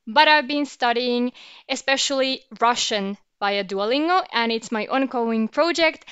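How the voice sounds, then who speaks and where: A woman's voice: a little high, a bit bright, clear, resonant tone, highs cut off. A woman with a Finnish accent, a native Finnish speaker and teacher, reviewing a language app and explaining as she goes. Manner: explains and comments while trying the app. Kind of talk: app review, talking to camera.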